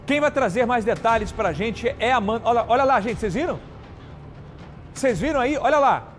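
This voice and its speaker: A man's voice: very high voice, animated, a little fast, faint music behind. A man from anchoring a crime news show, talking emphatically and indignantly straight to viewers. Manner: indignant, repeats and addresses viewers directly. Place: TV studio.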